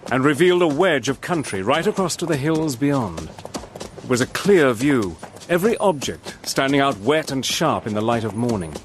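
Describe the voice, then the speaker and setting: A soldierly adventurer played by a man tells his wartime tale as a radio drama narrator. His mid-pitched voice is steady, measured and grave, with faint outdoor ambience behind it. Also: noisy background, lightly acted.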